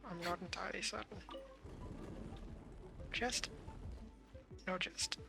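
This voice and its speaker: Creaky-voiced game streamer thinking aloud. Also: medium pitch, steady tone, slow. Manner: muttering self-directed questions and short reactions. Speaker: game streamer playing and commentating. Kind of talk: casual gameplay commentary, thinking aloud. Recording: game music behind the voice. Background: video game music.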